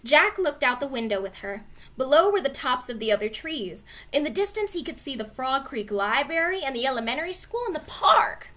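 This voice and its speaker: Female storybook reader: expressive narration, very lively pitch movement, bright tone. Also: a little fast.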